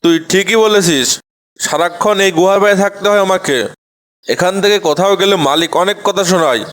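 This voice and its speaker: A man's voice: high voice, fairly quick, fairly bright tone. A man voicing an obedient, downtrodden guard snake in a children's cartoon, with a subservient manner. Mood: complaining. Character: hungry, obedient guard snake serving a master. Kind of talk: children's cartoon story dialogue.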